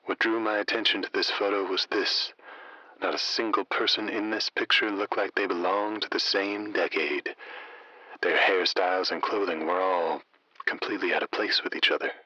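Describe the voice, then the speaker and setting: Deep, husky late-night radio host. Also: male voice, steady tone, pushed and bright, no echo, muffled recording. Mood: intriguing, hushed. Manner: deliberate, intimate, conspiratorial. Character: folksy, mysterious late-night strange-tales radio host.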